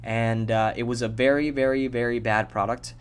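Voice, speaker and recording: A man's voice: medium pitch, steady tone, normal speed, smooth. A young man from Japan telling his own story in a loose, rambling vlog, with plenty of fillers and the odd swear. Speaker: vlogger.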